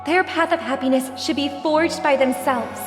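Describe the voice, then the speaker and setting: A gentle young idealist woman in a video game played by an actress. Her high voice is heartfelt and resolute. It rings with echo over clear background music. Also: close to an everyday voice. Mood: earnest, resolute. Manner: earnest, heartfelt, measured phrasing. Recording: echoing reverb, clear music underneath.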